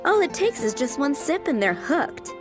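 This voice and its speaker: An actress playing a polite, cheerful, gently firm female tavern manager in video game dialogue. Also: high voice, very animated, moderate pace. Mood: cheerful, teasing. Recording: background music under the dialogue.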